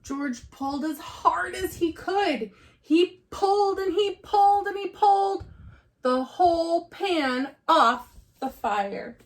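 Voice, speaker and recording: A woman teacher reading a picture book aloud to young children in a deliberate, expressive storytelling way. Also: very high voice.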